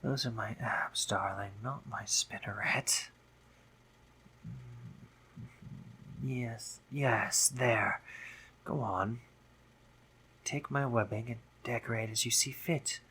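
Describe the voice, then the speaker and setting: A man acts as a dominant spider monster boy in a romantic audio drama. His voice is bright and crisp-edged, delivered slowly with teasing, doting murmurs. Noticeable ambient noise sits behind him. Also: lowish voice, animated, exaggerated voice. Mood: teasing, coy. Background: ambient sound effects.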